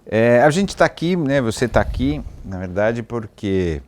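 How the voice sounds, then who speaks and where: A man with a Brazilian accent hosting a casual interview, haltingly rephrasing his points and seeking confirmation. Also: medium pitch, big pitch swings, darkish tone, slight background noise. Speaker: interview host.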